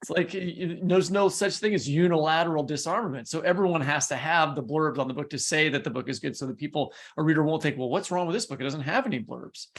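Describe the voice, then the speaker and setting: A man's voice: a little high, fast talker, few pauses. A man co-hosting a podcast, talking casually and informally with blunt, opinionated delivery. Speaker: writer and podcast co-host.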